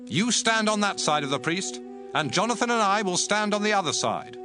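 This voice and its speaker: A man's voice: high voice, animated, normal speed, music in the background. A man playing a commanding, devout ancient king in a dramatized audio Bible. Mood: solemn, commanding. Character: King Saul, commanding, stern, devout Israelite king.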